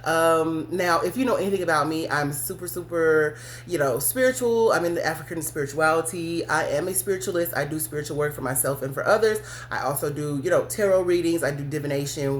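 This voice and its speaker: A woman's voice: deep voice, a little hiss or hum. Blunt, laughing woman telling a story. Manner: candid and blunt, cursing, laughing often mid-story. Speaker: podcast host. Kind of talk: casual storytelling to listeners.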